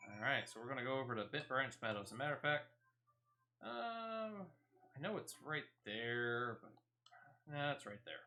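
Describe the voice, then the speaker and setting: A man's voice: mid-range voice, lively intonation, moderate pace, pushed and bright. A man streaming a video game, casually thinking aloud and narrating each of his moves. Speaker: gaming streamer. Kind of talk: casual gameplay commentary.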